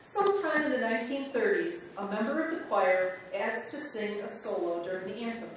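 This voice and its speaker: Lady reading church history, higher voice, lots of echo, far from the mic. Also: expressive, normal speed, a little hiss or hum. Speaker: church reader. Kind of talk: reader's theater narration. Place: large church sanctuary.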